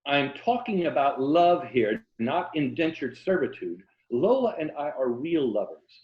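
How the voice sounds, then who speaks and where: A man acting out a grandiose middle-aged rogue from a novel, full of florid romantic pronouncements. He draws out his emphasis like a stage orator. The sound is roomy and slightly dull. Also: on the high side. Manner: florid, declamatory, drawn-out emphasis. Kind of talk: audiobook-like novel reading. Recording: roomy, dull video-call audio.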